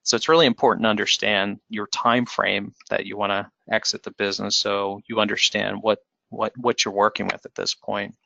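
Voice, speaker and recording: Guy with a low gravelly voice giving a webinar talk, lots of ums, dry muffled audio. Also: little pitch movement, sharp tone, no room sound. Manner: measured, explanatory, with frequent fillers and restarts. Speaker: financial advisor presenting. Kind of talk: webinar presentation.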